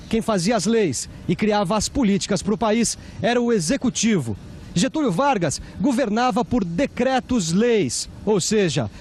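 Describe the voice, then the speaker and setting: Male presenter, Brazilian, scripted educational narration, pitched high.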